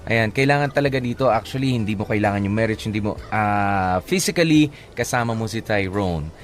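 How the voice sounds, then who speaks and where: A man with a Filipino accent co-hosting a radio advice show, talking casually with many halting pauses and some English. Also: on the low side, expressive. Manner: halting, filler-heavy, mixes in English. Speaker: radio co-host. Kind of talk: casual radio advice talk, reading listener comments.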